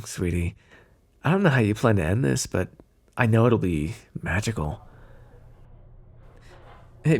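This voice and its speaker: A man's voice: fairly low pitch, vocal fry, breathy edge, exaggerated voice. A man playing a cocky, teasing mafia boss with a theatrical, flirty drawl in an ASMR roleplay. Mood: sweet, lovey-dovey.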